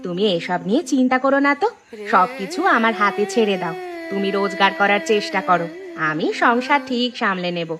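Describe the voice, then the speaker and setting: A woman's voice: mid-range voice, animated, brisk, crowd noise, lightly acted. A woman voicing a patient, reassuring village wife and mother in a cartoon, consoling and homely. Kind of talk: Bengali cartoon dialogue dubbing.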